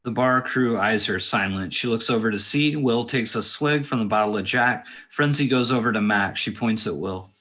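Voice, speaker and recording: A man's voice: medium pitch, moderate pace, hardly any pauses, pushed and bright. A man directing a table read, reading stage directions aloud and adding casual asides.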